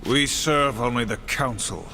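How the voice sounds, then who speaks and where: A man's voice: mid-range voice, a little slow, bright, forceful tone, slight background noise. A man acting a stern, honor-bound warrior horseman, grave and formal, in a video game cutscene.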